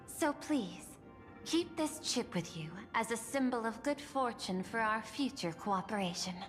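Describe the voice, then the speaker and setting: An actress voicing a poised, persuasive corporate executive in a video game, speaking in a polished, formal and measured way. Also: female voice, fairly high pitch, expressive, in a large hall, faint music behind. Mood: confident, grand.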